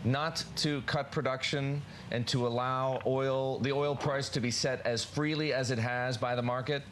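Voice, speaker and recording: A man's voice: mid-range voice, fairly even intonation, a little slow, fairly bright tone, a little hiss or hum. A man working as a news interviewer, putting formal, probing questions in a broadcast style.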